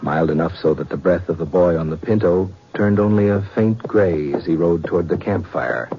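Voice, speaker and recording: Very deep Old West storyteller. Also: male voice. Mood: wistful, atmospheric. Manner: measured, poetic. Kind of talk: 1950s radio drama narration. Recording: muffled vintage radio, music behind.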